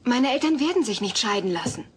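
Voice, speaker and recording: An actress playing a level-headed, skeptical teenage daughter with dry delivery in a German sitcom audio drama. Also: female voice, fairly high pitch, lively intonation, fast, slight background noise, close to an everyday voice.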